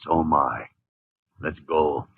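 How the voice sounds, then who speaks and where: An actor playing a gruff, blustering older senior doctor in a 1950s radio drama. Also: male voice, extremely low pitch, slow, exaggerated voice. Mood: tense. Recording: tinny lo-fi 1950 radio broadcast.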